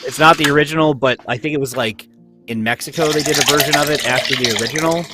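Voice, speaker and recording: A man's voice: on the high side, fast. Young man telling an anecdote over music. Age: young adult. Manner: storytelling, laughs a lot. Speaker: cast member. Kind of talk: casual chat.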